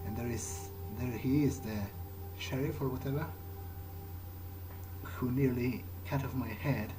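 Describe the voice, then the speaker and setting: A male gamer narrates his playthrough in character with a mid-range voice. He sounds tentative, as if thinking aloud. Game ambience is clearly audible as noise behind him. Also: mid-range voice, steady tone, measured pace. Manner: hesitant, musing to himself. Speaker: gaming video maker. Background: video game ambience.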